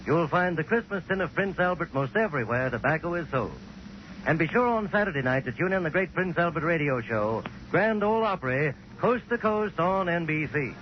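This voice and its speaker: A man's voice: on the high side, lively intonation, fairly quick. A man reading a 1940s radio advertisement as announcer, with an emphatic sales delivery. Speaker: commercial announcer. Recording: muffled, hissy 1940s radio recording.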